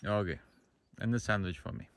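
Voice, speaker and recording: A man's voice: lowish voice, a little fast, dry recording. A man in his thirties, a non-native English speaker, chatting casually while hiking outdoors. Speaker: travel vlogger, hiker. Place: outdoors on a mountain trail. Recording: outdoor camera audio, music underneath.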